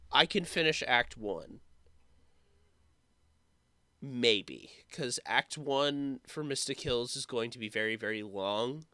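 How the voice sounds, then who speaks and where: A young man who is sick with a sore throat, talking in a rambling, halting way full of fillers for his casual vlog update. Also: medium pitch, sharp tone, dry recording. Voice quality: slightly rough, hoarse voice. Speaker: YouTuber.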